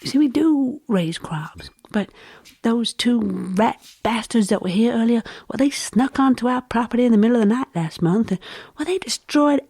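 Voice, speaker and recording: A woman's voice: a little high, expressive, normal speed, warm tone, slightly rough, dry recording. A woman playing a flirtatious rural Southern wife and mother in a comedic western audio drama, coy and folksy, with a Southern US accent. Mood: folksy, aggrieved.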